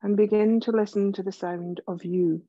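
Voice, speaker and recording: A woman leading a guided relaxation meditation as a yoga teacher, gentle and soothing, speaking in measured phrases with pauses. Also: mid-range voice, fairly even intonation, no echo, highs cut off.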